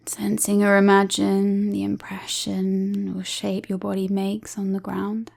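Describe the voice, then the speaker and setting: Woman, meditation guide, soft near-whisper, calm and soothing, level gentle intonation, gravelly fry at the edges. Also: mid-range voice. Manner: calm, soothing, unhurried with long pauses. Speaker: yoga and relaxation guide.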